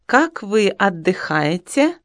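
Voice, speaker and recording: Luda, a friendly young student in a course dialogue. She speaks at a very slow, clear pace in a mid-range voice. The sound is dry and close. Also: medium pitch, dry recording. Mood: plain. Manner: clearly enunciated, even. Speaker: dialogue reader in a language course.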